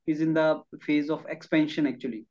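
A man's voice: on the high side, steady tone, brisk, a little mellow. A middle-aged man with an Indian accent interviewing conversationally on a video call, rephrasing his questions and mixing in Hindi words. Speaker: interviewer, educator. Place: classroom in front of a chalkboard. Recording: dull, muffled video-call audio.

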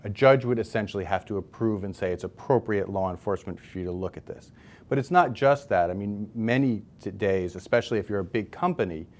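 An American man in his forties with a fairly low voice explains things as an interview guest. He is conversational and leans on key words. He speaks into a lapel mic in a newsroom studio. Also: a little mellow. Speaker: expert guest, civil liberties advocate. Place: newsroom studio, seated at table.

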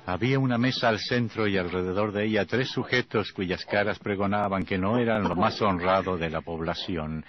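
A man's voice: low-pitched, moderate pace, few pauses. A man narrating a scripted horror story as radio theatre. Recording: dull vintage radio recording. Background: music.

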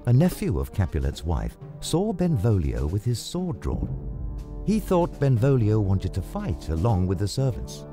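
A man reading scripted storybook narration in a dramatic, deliberate manner. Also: lowish voice, huge pitch swings, mellow. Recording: music behind the voice. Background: plucked guitar music.

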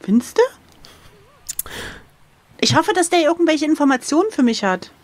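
Higher-pitched woman, close dry sound. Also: animated, moderate pace, dry recording. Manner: thinking aloud, playful. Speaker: player of an adventure gamebook. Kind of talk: casual chat during a game.

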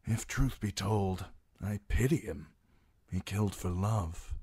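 Man playing a low-voiced, soft-spoken courteous lord. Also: breathy edge, no echo, studio quality, heavily acted. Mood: apologetic. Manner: mild, apologetic, measured.

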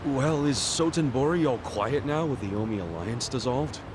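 An actor playing a loyal young former yakuza who talks in a lively, earnest way. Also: male voice, mid-range voice, moderate pace, noisy background, close to an everyday voice. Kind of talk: video game cutscene dub.

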